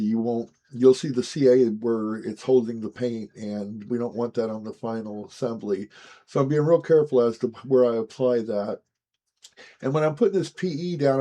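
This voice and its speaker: A man with a hoarse, raspy voice as he gets his voice back, hosting a casual, chatty walkthrough of building a scale model. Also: mid-range voice. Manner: relaxed, chatty, frequent "guys" and "um". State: hoarse, recovering from lost voice.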